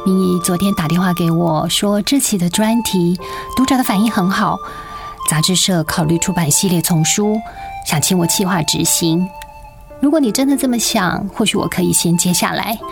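Guarded, weary Taiwanese wife over music. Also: female voice, lowish voice, normal speed, creaky. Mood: tentative, opening up. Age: 40s. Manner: restrained, guarded. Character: weary, guarded wife in her forties, emotionally withdrawn. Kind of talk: Mandarin radio drama acting. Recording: music bed under the voice.

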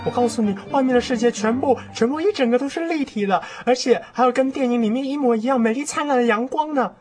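High-pitched naive young male rookie, stammering and wide-eyed, played by a woman, radio drama over music. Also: quick pace, close to an everyday voice. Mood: excited. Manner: stammering, breathless repetition of words. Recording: music behind the voice.

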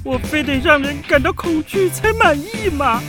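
Casting: lonely villainous frog prince, very high, lamenting and hesitant, Taiwanese Mandarin, for children's radio drama. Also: female voice, lively intonation, moderate pace, over music. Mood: bewildered, reflective. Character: lonely frog prince, self-doubting former flood villain.

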